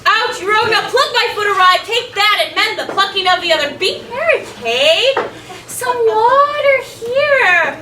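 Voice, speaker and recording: A woman playing a bossy, blustering male lord on an amateur stage, shouting at servants and laughing between lines. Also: very high-pitched, animated, a little slow, a little hiss or hum, exaggerated voice. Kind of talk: amateur stage acting of verse. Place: stage before a live audience. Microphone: distant room mic. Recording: echoey, distant hall recording with loud crowd.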